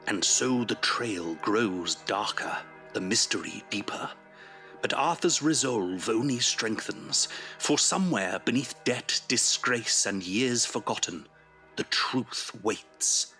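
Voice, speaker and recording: A man's voice: fairly low pitch, lively intonation, slow, pushed and bright, faint music behind. A man reading dramatic scripted narration as the narrator of an audio drama.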